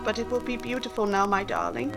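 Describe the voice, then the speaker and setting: A woman playing an earnest, loving young gentlewoman in 1940s-style radio romance. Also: medium pitch, big pitch swings, fast, over loud music.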